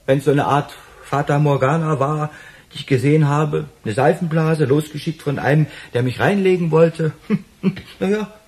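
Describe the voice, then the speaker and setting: An actor playing a nervous, wordy waiter in a radio crime drama, defensive and excitable as he explains himself. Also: a little high, moderate pace, slight background noise, natural voice. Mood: uneasy, relieved.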